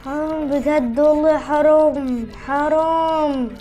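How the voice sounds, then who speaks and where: A woman's voice: high-pitched, steady tone, slow pace, warm tone, faint music behind. A woman with an Egyptian accent chatting casually in a whiny, protesting way as she co-hosts a gaming video. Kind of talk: casual chat while playing a game.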